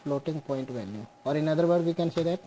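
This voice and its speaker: A young man teaching a programming class on screen, slowly walking through code step by step and mixing Hindi with English. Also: a little high, dark tone, slight background noise, rough recording. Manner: slow, explains step by step, mixes Hindi and English. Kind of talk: screen-share coding lesson.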